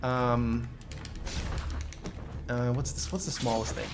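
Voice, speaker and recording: A man's voice: mid-range voice, level tone, slow pace, creaky. A man giving casual gameplay commentary and guiding his co-op partner. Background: game music.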